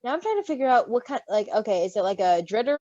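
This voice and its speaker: A woman with an expressive, giggly way of talking, chatting casually and reading a story with a friend. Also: a little high, quick pace, dry recording, highs cut off. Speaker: co-host reading fan fiction aloud.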